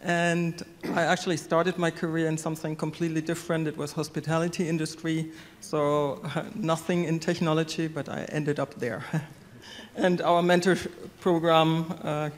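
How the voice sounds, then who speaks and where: A man in his forties giving a plain, even introduction on a conference panel. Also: fairly high pitch, normal speed, slight background noise. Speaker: panelist, sales operations director. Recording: long hall reverb through PA.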